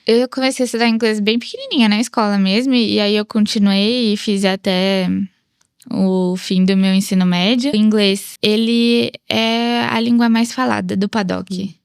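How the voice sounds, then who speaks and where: A woman's voice: medium pitch, fairly even intonation, normal speed, clear, resonant tone, no echo, clean studio sound. A Brazilian woman speaking Brazilian Portuguese as an interview guest, chatting casually and expressively. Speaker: interview guest, sports psychologist. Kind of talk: casual chat.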